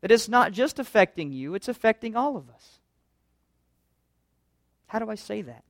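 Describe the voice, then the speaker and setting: A man's voice: sits high, very animated, moderate pace, creaky, fairly bright tone, no room sound, clean studio sound. A man pastor preaching a sermon in an earnest, conversational way, full of rhetorical questions.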